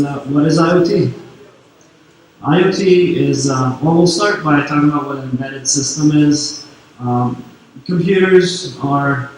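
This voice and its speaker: Brief: French-Canadian male conference speaker, laid-back explaining, distant mic, booming hall. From Quebec, Canada. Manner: relaxed, filler-heavy explaining. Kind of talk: technical conference talk. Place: large conference hall on stage. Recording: echoey hall, distant mic, faint noise.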